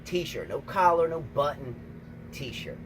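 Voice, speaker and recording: A man co-hosting a casual tennis chat outdoors, emphatic and repetitive, talking excitedly. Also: on the high side, takes it slowly, soft background music. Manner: emphatic, repeats himself, excitable. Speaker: tennis channel co-host, coach. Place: outdoors, windy. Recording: noisy outdoor recording, wind.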